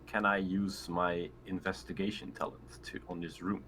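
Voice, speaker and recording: Low-voiced man playing a tabletop game. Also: flat delivery, moderate pace, a bit bright, breathy edge. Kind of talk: casual game chat. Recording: faint background noise.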